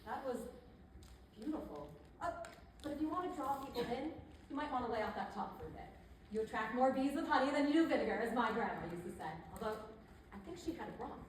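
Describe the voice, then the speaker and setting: A woman's voice: on the high side, very animated, quick pace. A woman playing a chatty, pushy but tactful image consultant in a stage comedy, conversational and persuasive with hesitant asides. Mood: tactful, musing. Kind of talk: theatrical stage comedy acting. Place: indoor theater stage. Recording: echoey theater, distant mic, faint noise.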